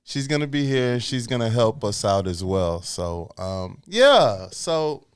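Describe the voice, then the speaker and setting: Guy hosting a podcast, smooth clear voice, hyping up the viewers. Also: mid-range voice, sing-song, unhurried. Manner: upbeat, addresses audience directly. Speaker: podcast host, mortgage lender.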